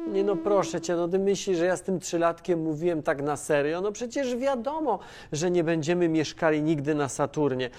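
A man's voice: fairly high pitch, normal speed, few pauses, darkish tone, close to an everyday voice. A man playing a caring, easygoing mission controller who chats informally in a children's educational audio drama. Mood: amused protest. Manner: conversational, hesitant, informal. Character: Tomasz, caring, chatty, easygoing male mission controller on Earth.

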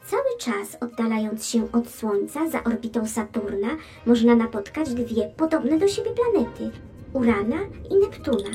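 A woman's voice: fairly high pitch, sing-song, moderate pace, warm tone, faint music behind. An actress playing a chatty, curious female space probe with a wry, teasing manner in a children's educational audio drama. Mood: eager, explaining. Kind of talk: children's educational radio play.

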